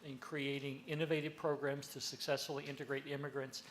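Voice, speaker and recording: Man moderating a panel, measured. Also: medium pitch, little pitch movement, fairly bright tone, slight background noise. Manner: measured, polite, with fillers. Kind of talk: formal panel introductions.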